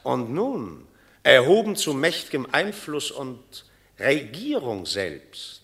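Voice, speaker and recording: Scheming Roman tribune, rabble-rousing, bright insistent voice, swooping intonation, radio drama. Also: male voice, mid-range voice, big pitch swings, takes it slowly, exaggerated voice. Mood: warning. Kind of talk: classic German radio drama, stage verse.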